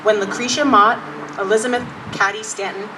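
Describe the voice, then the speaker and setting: A woman performing a dignified woman orator of the 1890s, giving a stately, measured speech outdoors at a rally, recorded from a distance. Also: medium pitch, steady tone, natural voice. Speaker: actor reading a historic speech. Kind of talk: dramatic recitation of a speech. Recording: distant mic with loud outdoor noise.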